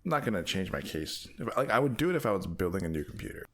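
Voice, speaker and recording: A man's voice: sits low, big pitch swings, quick pace, fairly bright tone. A man in casual chat as a podcast co-host.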